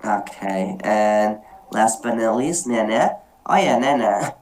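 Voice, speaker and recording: Woman moderator, extremely low voice, slow unhurried speech, hesitant fillers, video call. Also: expressive, a little mellow. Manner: hesitant, many fillers and restarts. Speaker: meeting moderator. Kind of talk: online group discussion, personal storytelling.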